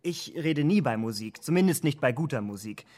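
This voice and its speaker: A young man of high society from a radio drama, played by an actor. He has a medium-pitched voice and speaks with courteous, earnest formality. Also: expressive, fairly quick, a little mellow, lightly acted. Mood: polite, earnest. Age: young adult. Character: polite, earnest upper-class young man. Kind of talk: German radio play acting.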